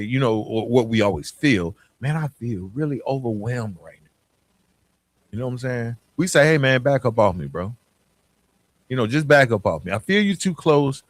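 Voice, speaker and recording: A man's voice: mid-range voice, no echo. Atlanta man talking straight, dry close sound. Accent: Southern US, Atlanta. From Atlanta, southern United States. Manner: conversational and emphatic, repeating phrases and posing rhetorical questions. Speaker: podcast host. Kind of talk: informal motivational monologue.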